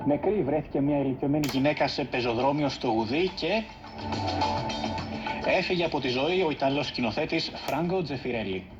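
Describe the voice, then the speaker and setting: A man's voice: a little high, fairly quick, muffled recording. A man reading scripted news headlines, heard through a vintage radio. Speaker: news reader. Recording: band-limited vintage radio. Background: loud music.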